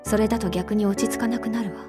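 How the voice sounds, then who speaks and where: An actress playing a composed, aloof young witch mentor in a Japanese visual novel, measured and admonishing, with a Japanese accent. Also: medium pitch, fairly even intonation, close to an everyday voice. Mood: cool, admonishing. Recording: loud music behind the voice.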